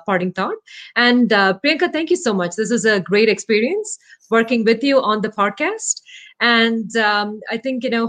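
Chatty woman, halting delivery. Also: mid-range voice. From India. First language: Malayalam. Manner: chatty, hesitant, many fillers and restarts. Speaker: guest. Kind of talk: casual remote podcast interview.

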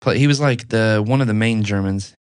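A man's voice: fairly low pitch, brisk, clear, resonant tone, no echo, studio quality. An American man in casual conversation as a podcast co-host, joking and blunt. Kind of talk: casual chat.

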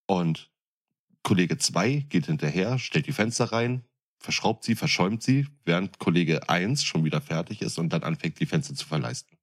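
A man's voice: low-pitched, animated, moderate pace. A man in his thirties chatting casually as a podcast co-host, with a blunt, colloquial way of talking. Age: 30s. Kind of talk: casual chat.

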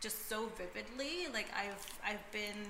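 Young woman guest from peru, lively casual chat, roomy sound. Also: medium pitch, a little slow, gravelly, noisy background. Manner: bubbly, laughs often, many fillers.

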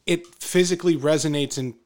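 A man's voice: fairly high pitch, a little fast. A man co-hosting a podcast, talking animatedly in casual conversation and often restarting his phrases. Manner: animated, repeats himself while searching for words. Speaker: podcast co-host.

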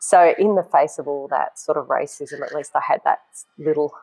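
A man, a guest telling stories from his youth in an animated way, laughing as he talks. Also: on the high side, moderate pace, vocal fry, rough recording. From Australia. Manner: animated storyteller, laughs while talking. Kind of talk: casual podcast chat.

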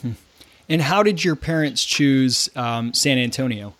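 A man's voice: medium pitch, unhurried, a bit bright. A man hosting an interview podcast, talking casually with plenty of fillers and restarts as he introduces his guest and asks questions. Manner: chatty, with frequent restarts and fillers. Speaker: podcast host.

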